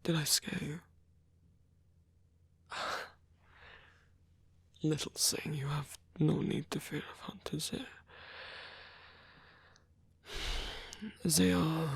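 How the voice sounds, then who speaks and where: A man playing a lonely, courteous old vampire in an intimate ASMR roleplay, speaking in a breathy, hesitant, softly formal way. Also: on the high side, dry recording, exaggerated voice. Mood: reassuring, conflicted. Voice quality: breathy, husky, gravelly whisper.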